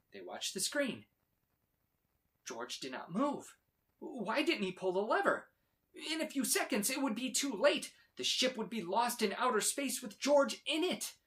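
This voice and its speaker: Animated man reading a children's storybook. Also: high-pitched. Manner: dramatic, expressive delivery with emphatic exclamations. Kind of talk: animated scripted storybook read-aloud.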